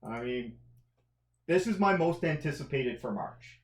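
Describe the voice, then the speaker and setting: An echoey room surrounds this man with a Central Canadian accent. He talks casually as a review podcast co-host, keen and teasing, at an ordinary male pitch. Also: lively intonation, unhurried. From Canada, outside the Maritimes. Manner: enthusiastic, bantering. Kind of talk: casual conversational review chat.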